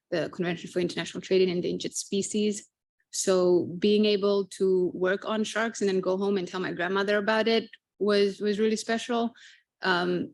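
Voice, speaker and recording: Earnest woman whose first language is Fijian. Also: lowish voice. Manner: earnest, with frequent "uh" and repeated words. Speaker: panelist. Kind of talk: personal story on a panel.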